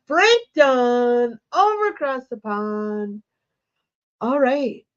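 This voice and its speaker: A woman's voice: on the high side, very animated, extremely slow, muffled recording. A woman hosting a casual embroidery livestream, a little congested from a cold, chatting and greeting viewers by name.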